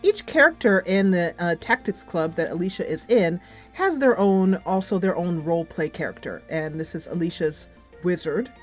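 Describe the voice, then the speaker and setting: A woman's voice: fairly low pitch, animated, normal speed. A woman hosting a casual game review, talking in a chatty, loosely structured, emphatic way. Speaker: game reviewer and channel host. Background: music.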